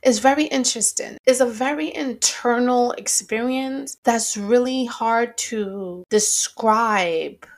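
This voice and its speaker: A woman's voice: a little high, takes it slowly, few pauses. Earnest woman with a slight stammer. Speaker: podcast host. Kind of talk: reflective solo podcast talk.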